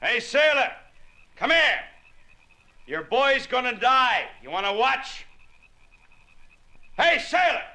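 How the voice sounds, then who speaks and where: An extremely high, strained villain, swooping through slow, drawn-out shouts. He is wild and threatening, in an echoey, noisy old radio recording. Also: sing-song, exaggerated voice. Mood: taunting. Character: frenzied, gun-waving male villain hunting his victim. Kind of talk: 1940s-50s radio crime drama acting.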